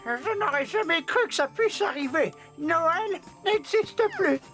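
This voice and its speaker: A woman's voice: very high voice, animated, measured pace, fairly bright tone, soft background music, full character voice. A woman voicing a kindly, worried elder in a Christmas cartoon, speaking solemnly and expressively. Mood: despairing. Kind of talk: cartoon dub acting.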